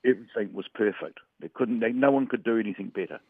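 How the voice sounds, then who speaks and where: A man's voice: fairly low pitch, quick pace, slightly rough, no room sound. A man from Western Samoa who talks in a rambling, hesitant way with many fillers, calling into a radio sports chat. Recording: tinny phone line.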